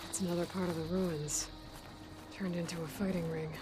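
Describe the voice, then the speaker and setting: An actress playing a determined young hunter in a video game, direct and curious. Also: female voice, fairly low pitch, fairly even intonation, normal speed, close to an everyday voice, rough recording. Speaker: game protagonist. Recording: game audio with music behind.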